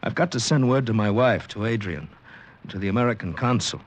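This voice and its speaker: An actor playing a bewildered amnesiac American man in a halting, dramatic 1940s radio style. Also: fairly low pitch, lively intonation. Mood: anxious, agitated. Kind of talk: 1940s radio acting, first-person narration and dialogue. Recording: noisy old radio drama recording.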